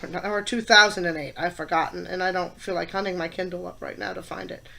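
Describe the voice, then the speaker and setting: A woman in her fifties with a head cold, stuffed up, giving her opinions in a casual class vlog and stressing words between pauses and fillers. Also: lowish voice, fairly even intonation, creaky, pushed and bright, slight background noise. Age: 50s. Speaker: college teacher and student.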